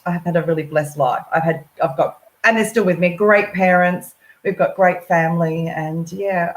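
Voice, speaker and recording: A woman's voice: mid-range voice, reverberant, poor audio. A chatty, enthusiastic middle-aged Australian woman, a volunteer guest on a casual live stream who laughs often.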